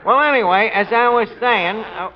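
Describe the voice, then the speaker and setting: An actor playing a boastful tavern manager in a 1940s radio comedy, delivering folksy, pompous wisecracks and mangled words. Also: male voice, sits high, moderate pace, lightly acted. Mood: philosophizing. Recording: muffled old radio broadcast with hiss.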